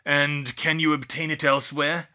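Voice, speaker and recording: Man voicing a nasty, forceful angry centaur. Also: a little high, level tone, normal speed, dull audio. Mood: furious, roaring. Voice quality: nasty, menacing tone. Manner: stern, formal, imperious speech. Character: angry, haughty male centaur, hostile to humans. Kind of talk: audiobook character voice.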